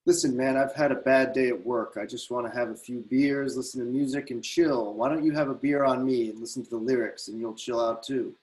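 A man's voice: medium pitch, fairly even intonation, brisk, few pauses, a little mellow, close to an everyday voice, low-quality recording. A man playing a rowdy, defiant large man who taunts the police in a screenplay reading. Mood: defensive. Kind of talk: screenplay table read.

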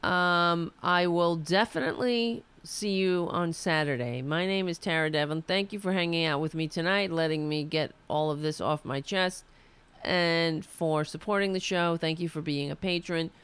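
A woman's voice: on the low side, normal speed, fairly bright tone, clear, resonant tone. A woman hosting a political show, delivering an impassioned, ranting monologue while losing her voice. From United States. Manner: fiery, ranting, restarts mid-sentence.

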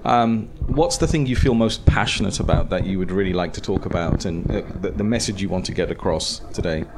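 A man's voice: low-pitched, brisk, very noisy. A man moderating a panel, asking questions hesitantly with many fillers.

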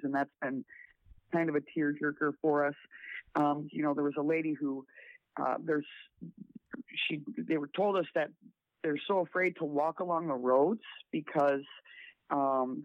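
A raspy man's voice comes down a narrow, tinny phone line. He sits a little high in pitch and talks haltingly, restarting often. The sound is dry and close. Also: no echo. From South Dakota. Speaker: guest volunteer. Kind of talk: casual phone interview.

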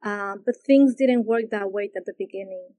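A woman from Peru, a yoga teacher, answering interview questions slowly and with many pauses and fillers. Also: mid-range voice, mellow, no room sound. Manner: hesitant, searching for words, many fillers.

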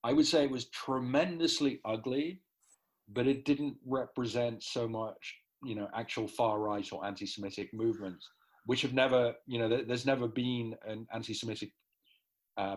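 A man's voice: mid-range voice, normal speed, poor audio. A middle-aged man giving an articulate, analytical explanation as an interview guest. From grew up in the Netherlands. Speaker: interview guest, football writer.

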